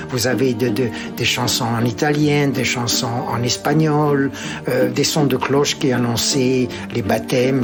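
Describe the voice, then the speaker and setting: A man in his seventies, born in Algeria, speaking with a Pied-Noir French accent and recalling his childhood with many hesitations and restarts. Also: medium pitch. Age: 70s. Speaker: interviewee. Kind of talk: personal reminiscence.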